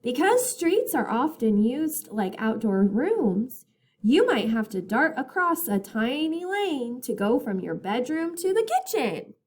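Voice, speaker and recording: A woman reading a picture book aloud as a teacher to children, in a deliberate, expressive storytelling manner. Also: high voice.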